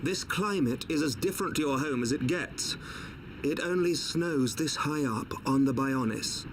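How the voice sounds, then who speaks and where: An enigmatic, composed guide from a fantasy game, acted by a man. His voice sits a little high and his phrasing is formal and measured, with clear background noise around it. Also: natural voice. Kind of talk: video game cutscene acting.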